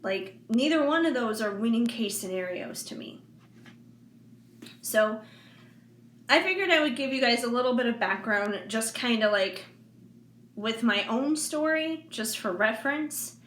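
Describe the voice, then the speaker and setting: A woman of forty talking candidly to her viewers in a casual, rambling way with many fillers and soft laughs. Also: a little high, expressive, a little slow, long pauses. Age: 40s. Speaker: intuitive reader and vlogger. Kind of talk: casual heartfelt monologue to viewers. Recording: echoey room with faint background noise.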